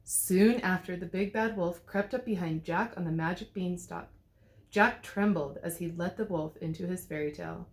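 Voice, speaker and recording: A woman reading a children's storybook aloud as a teacher would, with expressive storytelling. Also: lowish voice, normal speed. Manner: expressive, clearly paced storytelling. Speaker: teacher reading to second graders. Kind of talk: storybook read-aloud narration.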